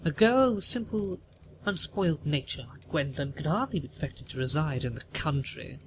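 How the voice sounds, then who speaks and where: A haughty older upper-class matriarch from a period audio play, acted by a man. The voice sits fairly high for a male performer and interrogates with pompous disapproval. Noticeable noise runs behind the recording. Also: animated, normal speed, close to an everyday voice. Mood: haughty, disapproving. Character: haughty, imperious upper-class Victorian mother, older woman. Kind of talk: theatrical audio drama acting.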